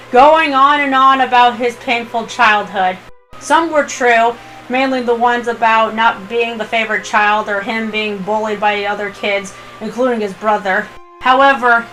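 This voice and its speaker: Slightly high-voiced woman reading a story. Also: pushed and bright, slight background noise, low-quality recording. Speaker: amateur story reader. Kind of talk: dramatic fan fiction narration.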